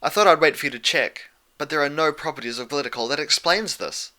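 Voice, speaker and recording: A man voicing a studious, anxious young female pony scholar, earnest and halting in manner. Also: fairly high pitch, pushed and bright, pitched above the performer's own voice. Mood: baffled.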